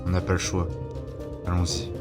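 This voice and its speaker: Actor as a low, composed male passenger. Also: completely flat delivery, normal speed, close to an everyday voice. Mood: resolute. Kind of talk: scripted audio fiction acting. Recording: loud music over the voice.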